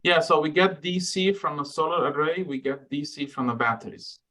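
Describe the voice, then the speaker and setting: Frank, halting man from Lebanon. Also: on the high side, steady tone, pushed and bright. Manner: frank, halting with many fillers and restarts. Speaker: guest speaker, microgrid researcher. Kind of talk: answering audience questions.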